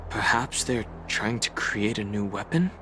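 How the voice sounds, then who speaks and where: A man voicing a sober, skeptical character in video game dialogue, speaking in a measured way. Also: lowish voice, expressive, noisy background. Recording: music behind the voice.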